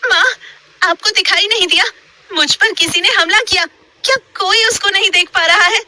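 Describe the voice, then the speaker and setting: A woman's voice: very high voice, normal speed, pushed and bright, pitched above the performer's own voice, exaggerated voice. A woman voicing a frightened, earnest young girl in a horror story, pleading and insistent. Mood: alarmed.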